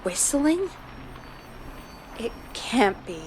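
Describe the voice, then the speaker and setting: An actress voicing a steady, supportive radio producer in a horror video game, earnest and encouraging. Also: female voice, on the high side, very slow, background noise, exaggerated voice. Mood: alarmed.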